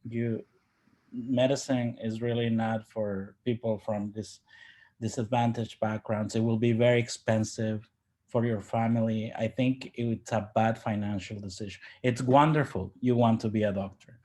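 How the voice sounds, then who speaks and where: A man from Ecuador, a native Spanish speaker, giving personal reflections as an interview guest in a halting, thoughtful way. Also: fairly low pitch, level tone, a little mellow. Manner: halting, thoughtful, with repeated word starts.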